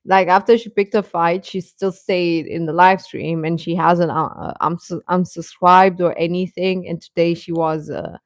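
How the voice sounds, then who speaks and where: Woman, live streamer, lower-pitched voice, dry close sound, casual, halting, rambling, sarcastic. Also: steady tone, no echo. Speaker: live streamer and reaction channel host. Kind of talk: casual live-stream chat about pop fandom.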